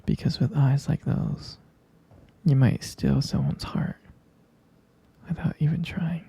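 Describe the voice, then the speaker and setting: A performer playing a tender, doting partner at bedtime in an intimate ASMR roleplay, in a breathy, gravelly whisper with a halting, affectionate delivery. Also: mid-range voice, fairly even intonation, exaggerated voice. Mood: adoring, flirtatious. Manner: slow, hushed, halting, affectionate.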